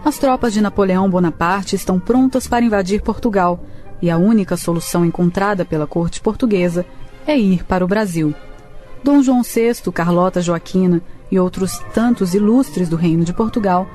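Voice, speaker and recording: A woman's voice: mid-range voice, moderate pace, dark tone. A woman reading scripted storytelling narration for a radio drama. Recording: faint music behind.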